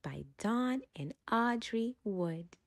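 Woman reading a picture book with animated pitch. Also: no echo, clean studio sound. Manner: dramatic, drawn-out words and breathy whispers. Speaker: storytime reader and channel host. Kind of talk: expressive read-aloud of children's picture book.

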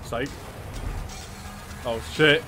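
A young man streaming a horror game from his room, reacting loudly with constant swearing and trash talk. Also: mid-range voice, fairly even intonation, very slow, music in the background. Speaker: gamer streamer. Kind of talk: live gameplay reactions. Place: small room at home, indoors.

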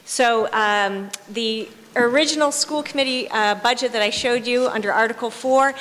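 A woman's voice: on the high side, normal speed, a bit bright. A woman speaking at a podium microphone, friendly and self-deprecating, explaining school budget figures at a town hearing. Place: large hall, at a podium. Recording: reverberant hall with faint room noise.

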